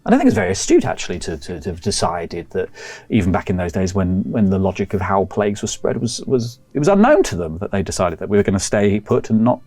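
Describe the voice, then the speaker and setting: Man playing a hesitant librarian, rambling and evasive, lively pitch. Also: fast talker, no echo, exaggerated voice. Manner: hesitant, rambling with many fillers and restarts. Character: fussy male village librarian, polite and evasive.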